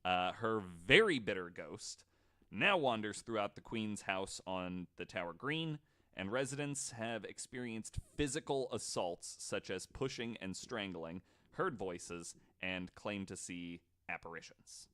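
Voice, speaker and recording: A man's voice: lowish voice, lively intonation, unhurried, pushed and bright. A man hosting a podcast, telling stories to co-hosts in a casual, joking, informal way with some swearing. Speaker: podcast host.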